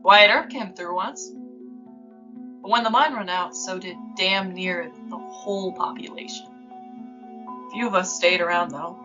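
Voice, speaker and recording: Actress as folksy small-town woman, lowish voice, ominous measured horror narration, clear music behind. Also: bright, forceful tone. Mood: brooding. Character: folksy small-town local, wary, brooding storyteller. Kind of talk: scripted first-person horror narration. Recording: muffled audio under prominent music.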